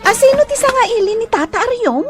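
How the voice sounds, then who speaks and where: Very high-pitched girlish Ilocano daughter. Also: animated, brisk, faint music behind. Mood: curious. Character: sweet, girlish young daughter, dutiful and playful. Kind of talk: comedic radio drama acting.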